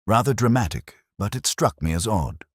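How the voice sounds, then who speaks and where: A man's voice: lowish voice, lively intonation, moderate pace, breathy edge, no echo, studio quality, pitched above the performer's own voice. A man voicing an anxious, polite Victorian private secretary in an audiobook, speaking formally and precisely. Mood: uneasy.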